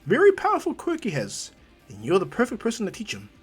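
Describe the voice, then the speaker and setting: An eager teenage anime hero student done by a man, high and bright. He is polite and chirpy, with an innocent, mischievous cheek and lively swings in pitch. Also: fast talker, husky, soft background music, exaggerated voice.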